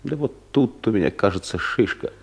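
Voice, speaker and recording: A man's voice: on the low side, lively intonation, a little fast, creaky. A man playing a timid, awkward office clerk who turns stubbornly cheeky, in a comedic audio drama. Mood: stubborn, sulky. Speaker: actor in a radio play.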